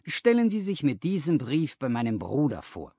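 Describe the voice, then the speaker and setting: A man's voice: mid-range voice, sing-song, moderate pace, mellow, no echo, studio quality. A man voicing an effusive, persuasive businessman in a story, emphatic and a little patronising. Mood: businesslike. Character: brisk, effusive businessman recruiter, flattering and persuasive.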